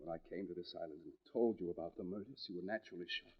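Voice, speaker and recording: An actor playing an intense, accusing man with deliberate, insistent menace in a 1940s radio mystery, on a dull vintage recording. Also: low voice.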